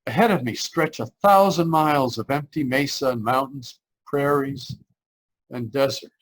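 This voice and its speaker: A man acting a distraught, haunted traveler in an old-time radio suspense play, with a halting, dramatic delivery. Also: mid-range voice, natural voice. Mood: haunted, brooding. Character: distraught male traveler, shaken, haunted, near breaking.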